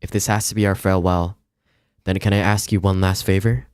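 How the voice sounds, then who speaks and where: A man playing a tender, sorrowful lover reading out a farewell letter, gentle and earnest, in a visual novel. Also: low-pitched, little pitch movement, a little fast, smooth, dry recording, natural voice. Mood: sorrowful, loving.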